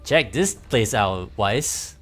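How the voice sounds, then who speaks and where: A man's voice: fairly low pitch, lively intonation, slow, fairly bright tone. A man giving casual, rambling livestream game commentary, chatting informally with some swearing. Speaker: game streamer. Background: game music.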